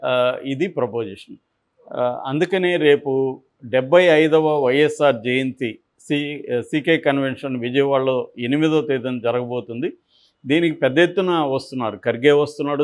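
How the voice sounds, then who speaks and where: A man giving unscripted political analysis as a commentator, explaining conversationally. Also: mid-range voice.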